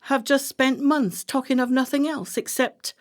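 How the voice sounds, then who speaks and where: An actress playing a brisk-minded, commanding woman plotter in a radio play, with a deliberate, declamatory delivery. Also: sits high, steady tone, natural voice. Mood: measured, reflective.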